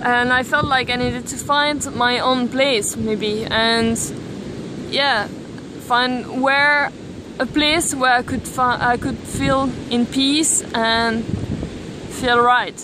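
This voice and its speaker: A young woman from Belgium, filming herself at arm's length on a beach and talking thoughtfully with many pauses and restarts. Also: a little high, steady tone. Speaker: vlogger. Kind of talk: casual selfie vlog. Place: outdoors on a beach, windy. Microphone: camera at arm's length. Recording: wind noise on the mic.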